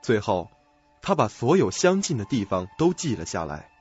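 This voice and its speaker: Husky low-voiced man narrating. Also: sing-song, normal speed. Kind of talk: scripted radio drama narration, suspense story. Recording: dull lo-fi audio. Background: faint music.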